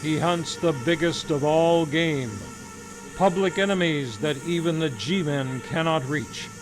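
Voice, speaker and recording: Stately radio announcer over music. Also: male voice, a little high, a little slow.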